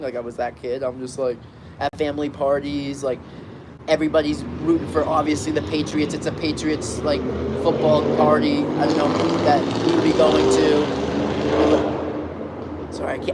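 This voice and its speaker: Chatty man on a noisy balcony. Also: a little high. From Boston area, Massachusetts. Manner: rambling, chatty, many fillers and restarts. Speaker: streamer reading chat. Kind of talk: casual live chat. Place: outdoor balcony. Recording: clear outdoor traffic noise. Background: street and traffic noise.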